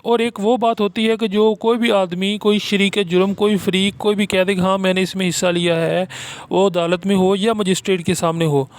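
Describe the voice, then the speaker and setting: A man with a Pakistani accent giving an explanatory lecture in a halting, deliberate manner, as if from notes. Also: sits high. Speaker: law lecturer and channel host.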